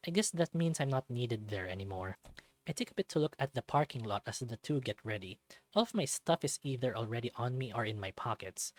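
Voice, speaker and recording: Casting: male visual novel narrator, mid-pitched, expressive storytelling read, dry recording. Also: no room sound. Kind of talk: expressive scripted first-person narration.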